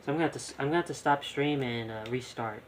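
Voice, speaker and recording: A man's voice: mid-range voice, racing, a little hiss or hum. A man giving casual live gameplay commentary, muttering to himself and throwing in mild exclamations. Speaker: game streamer. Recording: loud game music behind voice. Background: loud video game music.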